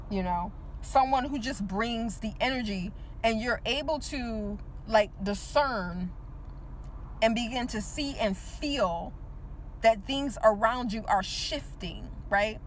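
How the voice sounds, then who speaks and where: A woman's voice: a little high, slight background noise, rough recording. A woman in her forties talking to the viewer in a casual motivational monologue, often checking in with "right?" and repeating words for emphasis. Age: 40s. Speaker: teacher and motivational speaker.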